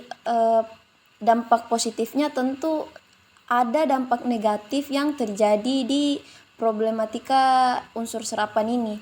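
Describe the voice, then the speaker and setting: Young female student presenter, slightly high voice, didactic explainer delivery aimed at classmates. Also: a little mellow. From Makassar, South Sulawesi, Indonesia. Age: young adult.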